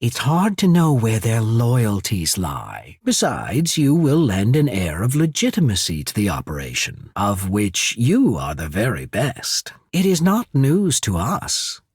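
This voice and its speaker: A man's voice: fairly low pitch, huge pitch swings, unhurried, few pauses, husky, no echo, studio quality, full character voice. A man voicing a composed, courteous and shrewd diplomat of an island empire in a fantasy video game, speaking formally and with understatement. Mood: persuasive, calm. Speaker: voice actor for a video game character. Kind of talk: scripted fantasy game dialogue.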